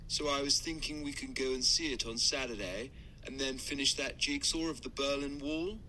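An actor playing a dull, emotionless barrister in his thirties, leaving a halting, matter-of-fact voice message in an audio drama. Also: medium pitch, pushed and bright, slight background noise. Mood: flat, awkward. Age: 30s. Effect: phone voice note.

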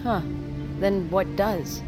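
A woman's voice: mid-range voice, takes it slowly, over loud music, natural voice. Easygoing female crew member played by an actress. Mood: concerned, supportive. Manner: casual, self-deprecating. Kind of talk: naturalistic audio drama acting.

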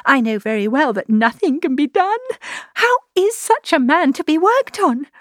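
A woman voicing an agitated, breathless young gentlewoman in anguish, in a storybook reading. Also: a little high, moderate pace, no room sound, studio quality, pitched above the performer's own voice, natural voice. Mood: agitated, despairing. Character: distraught young gentlewoman, anguished and self-reproaching.

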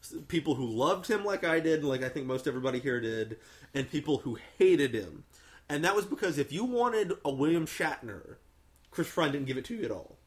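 A man's voice: a little high, expressive, a little fast. A man hosting a podcast, chatting casually and enthusiastically with many fillers and restarts. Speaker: podcast host. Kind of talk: casual movie review chat.

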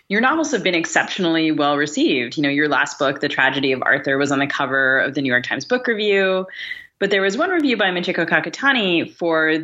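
A woman's voice: deep voice, bright, forceful tone, lo-fi sound. A woman co-hosting a podcast, putting long conversational questions to a guest. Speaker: podcast co-host.

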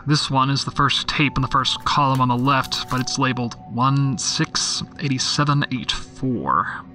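An actor playing a weary, skeptical homicide detective dictating case notes in a dry, sardonic, matter-of-fact way for an audio drama. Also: male voice, mid-range voice, measured pace, sharp tone, soft background music. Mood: puzzled, dry. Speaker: detective narrator.

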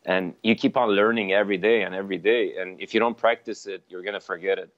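A man whose first language is Armenian, a sommelier chatting in an interview, halting with many fillers and restarts. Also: deep voice, steady tone. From Lebanon. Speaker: sommelier guest. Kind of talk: casual interview chat. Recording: dull, dry lo-fi sound.

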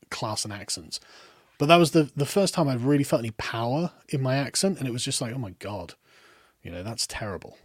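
British guest, rambling talker, middling pitch, dead dry room. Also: male voice, dry recording. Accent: British, lower middle class. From Britain. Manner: rambling, with many restarts and trailing off quietly. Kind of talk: casual political discussion.

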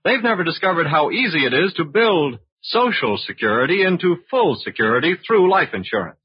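A man's voice: on the high side, big pitch swings, fairly quick, breathy edge, no echo. A man announcing and selling in 1940s radio style, with an emphatic, polished salesman's delivery. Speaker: radio announcer and sponsor spokesman. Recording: muffled old radio broadcast recording.

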